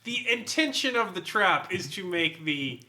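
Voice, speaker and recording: A man's voice: high voice, lively intonation, a little slow, sharp tone. A man giving casual, opinionated critiques on a podcast, blunt, sweary and skeptical, with some noise and clicking on the recording. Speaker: podcast panelist reviewing game material.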